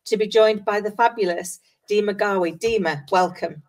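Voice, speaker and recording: A woman hosts an interview podcast in a medium-pitched voice. Her delivery is effusive and encouraging, moving between introduction and friendly conversation. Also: normal speed. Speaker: podcast host interviewing a guest.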